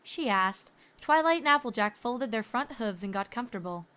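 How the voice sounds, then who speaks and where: A woman's voice: on the high side, animated, normal speed, bright, forceful tone, smooth. A woman reading scripted story narration as the narrator.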